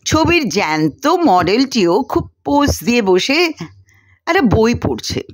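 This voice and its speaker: A woman reading a short story aloud in an expressive, deliberate storytelling manner. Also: on the high side. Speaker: story reader. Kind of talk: scripted narration of a short story, dramatized dialogue.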